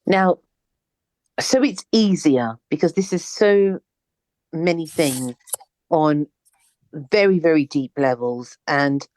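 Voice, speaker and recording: A woman hosting a podcast interview, asking her questions haltingly as she searches for words. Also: low voice, lively intonation, darkish tone. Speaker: podcast host.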